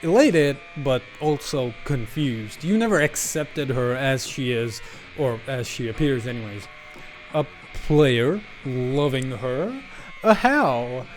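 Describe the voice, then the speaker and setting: A man's voice: mid-range voice, lively intonation, slow, soft background music. A man giving casual, unscripted video game commentary in a slangy, jokey, emphatic way.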